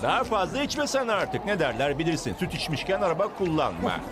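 A man voicing a concerned, teasing fellow at a bar in an animated series dub. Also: fairly high pitch, a little fast, a crowd in the background. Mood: teasing, advising.

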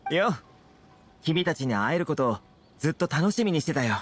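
Friendly young anime game merchant guy, breezy, kinda high voice, really lively intonation. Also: moderate pace, a little hiss or hum. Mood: friendly, playful. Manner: casual, breezy, affable. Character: friendly, easygoing young male trader and fixer. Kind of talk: anime video game voice acting.

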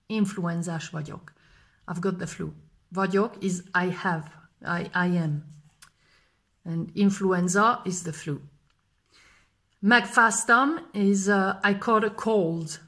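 Woman in her 30s doing a language lesson to camera, real slow, says everything twice, mid pitch. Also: a bit bright. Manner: repeats phrases slowly and clearly. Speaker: language teacher. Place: indoors in front of a plain gray wall. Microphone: close camera framing, face fills frame.

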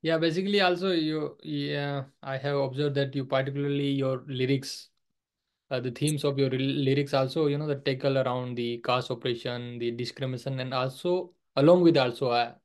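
A man's voice: medium pitch, steady tone, moderate pace, clean tone. A young man hosting an interview over a video call, asking long questions and repeating words like "basically" and "also". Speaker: podcast host.

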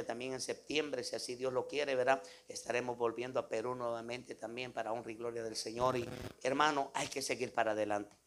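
A man's voice: mid-range voice, fairly bright tone. Guatemalan man preaching with devotional interjections. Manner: exhortative, repeats devotional interjections and tag questions. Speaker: evangelical preacher. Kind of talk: impromptu sermon to a congregation.

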